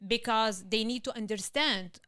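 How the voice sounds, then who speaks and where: Arabic-accented woman, bright and clear. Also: on the high side, moderate pace, no echo, clean studio sound. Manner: hesitant, many fillers and restarts; laughs easily. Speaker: real estate agent, guest. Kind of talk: podcast interview.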